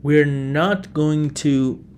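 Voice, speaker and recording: A man from Iran, a native Farsi speaker with an Iranian accent, hosting a solo podcast in an unhurried way, often restarting and correcting himself. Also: on the high side, darkish tone, a little hiss or hum. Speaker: podcast host.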